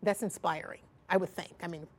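A woman's voice: on the low side, fairly even intonation, a little fast, crowd noise. A woman hosting a panel interview, prompting and directing her guests with questions. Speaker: interview host.

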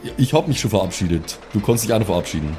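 Male tabletop player, deep register, informal and halting improvised chat over soft background music. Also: expressive. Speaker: tabletop role-playing player. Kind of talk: casual improvised in-character chat.

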